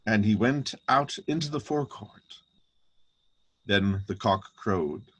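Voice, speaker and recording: A man's voice: lowish voice, slow pace, a bit bright. A man narrating a dramatic reading of scripture.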